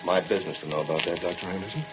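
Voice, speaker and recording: An actor playing a grave, accusing moral questioner in an old-time radio drama, with deliberate, probing delivery. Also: male voice, sits low, over music, natural voice. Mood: cool, probing. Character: grave, accusing man challenging a scientist, relentless moral questioner. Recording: muffled, very noisy old radio recording.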